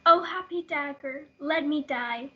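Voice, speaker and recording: Casting: desperate, heartbroken teenage girl played by a female student, very high voice, school play reading, lo-fi audio. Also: measured pace. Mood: desperate resolve. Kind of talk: school reader's theatre performance. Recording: dull lo-fi audio.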